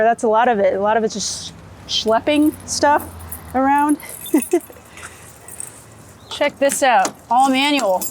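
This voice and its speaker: A woman from Florida with an American accent, chatting casually as an interviewed photographer, talkative and quick to laugh. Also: high-pitched. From United States, Florida. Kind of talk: casual chat while out and about. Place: on location in a city, rooftop, car and street. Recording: outdoor city and vehicle noise.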